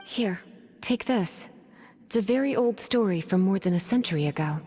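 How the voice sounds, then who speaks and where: An actress playing a flirtatious, languid sorceress in a video game, with a playful, drawling delivery. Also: female voice, medium pitch, animated, normal speed, warm tone, slight background noise, natural voice. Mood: grave, explaining. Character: flirtatious, teasing, languid sorceress, a grown woman. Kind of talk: video game character acting.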